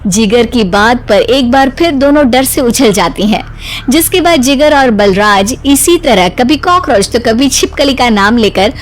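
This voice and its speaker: Animated woman narrating a cartoon story. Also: on the high side, a little slow, a little hiss or hum, low-quality recording.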